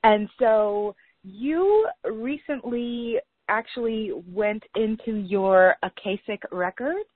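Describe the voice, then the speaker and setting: A woman's voice: on the high side, expressive, no room sound. Woman with a Guyanese American accent. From United States. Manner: reflective, repeats words, slows for emphasis. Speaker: podcast host. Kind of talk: warm interview chat. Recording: tinny phone-like line, dry.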